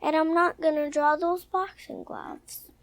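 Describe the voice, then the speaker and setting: Shy little boy, very high voice, polite and hesitant, for an old radio drama, dry sound. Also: fairly even intonation, unhurried, no room sound. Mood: upset, stubborn. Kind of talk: mid-century radio soap opera acting.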